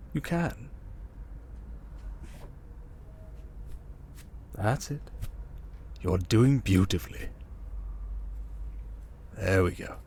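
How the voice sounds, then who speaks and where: A man playing a suave, manipulative criminal mastermind in an intimate ASMR roleplay, with a coaxing, condescending, theatrical delivery full of drawn-out pauses. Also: fairly low pitch, slight background noise. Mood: soothing, possessive. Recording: music behind the voice. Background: music.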